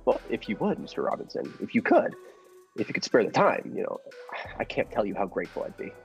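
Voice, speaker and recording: An actor playing a timid, henpecked little husband in an old-time radio comedy, stammering and fussily polite. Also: male voice, lowish voice, wildly swooping pitch, brisk, vocal fry, full character voice. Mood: grateful, awed. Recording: dull lo-fi audio with music behind.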